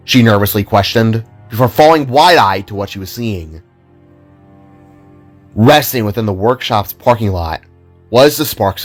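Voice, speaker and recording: A man narrating a scripted audio drama in a measured, theatrical storytelling manner. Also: fairly low pitch. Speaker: narrator and reader of all parts.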